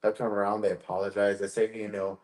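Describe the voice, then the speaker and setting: Low-voiced man in an echoey room. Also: flat delivery, fast. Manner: laughs often, shy-sounding storyteller. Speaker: actor. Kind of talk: casual chat.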